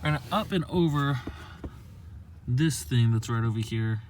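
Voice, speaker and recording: A man's voice: medium pitch, a little slow, a little hiss or hum. A young man talking in a casual, unscripted how-to vlog, rambling and repeating himself in a conversational way. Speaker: amateur video maker showing a car project.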